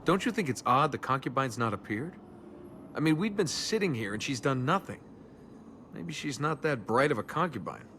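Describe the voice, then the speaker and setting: A man's voice: medium pitch, big pitch swings, normal speed, bright, forceful tone. A man playing a cocky, flippant young adventurer in a video game, talking in a sardonic, conversational way. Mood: wry, impatient. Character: cocky, wisecracking young male adventurer, self-centred but good-humoured. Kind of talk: video game dialogue acting. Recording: noisy game ambience behind voice.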